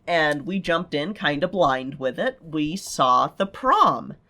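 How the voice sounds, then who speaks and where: A woman chats casually as a comedy podcast co-host. She speaks at a slow pace with very lively, swinging intonation. Her manner is playful and blunt. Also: low voice.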